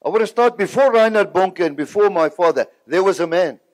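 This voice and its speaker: High-pitched older South African man preaching. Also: expressive, fairly quick, no echo. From Southern Africa, born in Zimbabwe, raised partly in South Africa. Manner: emphatic, repeats phrases for effect. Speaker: preacher giving a testimony. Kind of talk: sermon-like personal testimony, storytelling to an audience.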